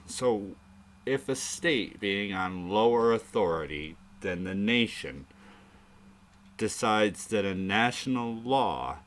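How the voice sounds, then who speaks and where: A man's voice: on the low side, fairly bright tone. A man hosting a live-stream debate chat, thinking aloud in a rambling way and swearing now and then. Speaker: show host.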